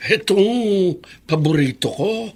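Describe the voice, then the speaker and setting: A man's voice: high-pitched, expressive, slow, heavily acted. A man playing a cranky, bitter, stubborn old grandfather in a radio drama, with a querulous delivery. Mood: stubborn, easygoing. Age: older. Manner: querulous, accusatory.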